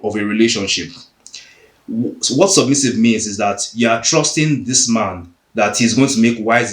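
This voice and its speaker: A man's voice: fairly low pitch, reverberant. A man from Nigeria, hosting a podcast and earnestly pressing his point in a heated debate. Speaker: podcast host.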